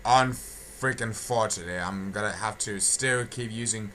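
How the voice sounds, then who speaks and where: A young man giving a casual audio update, halting, repetitive and complaining. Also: on the low side, sharp tone. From England. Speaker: video creator giving channel updates. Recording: clear background noise.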